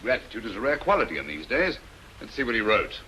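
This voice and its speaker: A faintly noisy vintage radio drama. an English detective, incisive and authoritative, with a bright, forceful voice that swings widely in pitch. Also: male voice, sing-song, fast talker. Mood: urbane, amused. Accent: British. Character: brisk, shrewd English gentleman detective. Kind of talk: 1940s radio mystery acting. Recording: slightly noisy old radio recording.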